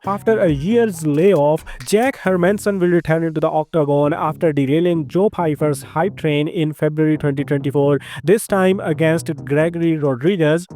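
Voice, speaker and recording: A man with an Indian accent hosting a fight news channel, reading a brisk scripted rundown of announcements. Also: fairly high pitch, mellow. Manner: brisk, list-like delivery with slightly nonstandard grammar. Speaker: MMA news host. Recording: music bed underneath.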